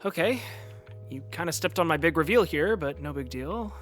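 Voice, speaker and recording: A man's voice: on the high side, expressive, moderate pace, a bit bright, soft background music. A young man acting as an eager, easily miffed gamer in a naturalistic audio drama. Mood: showy, earnest.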